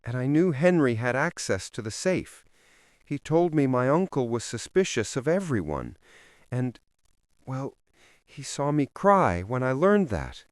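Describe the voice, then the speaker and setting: A man's voice: mid-range voice, sing-song, dry recording, clean studio sound, voice pushed up. A man voicing a nervous, guilt-ridden woman in her twenties in a mystery story, speaking hesitantly. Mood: nervous confession. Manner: hesitant, halting.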